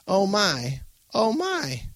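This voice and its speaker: Audiobook role: elderly grandmother played by a man, superstitious, ominous, trembling and creaky, raised pitch. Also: measured pace, no room sound, natural voice. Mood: alarmed. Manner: dramatic, foreboding warnings. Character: frightened, superstitious old grandmother, frail and ominous. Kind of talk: audiobook character voice.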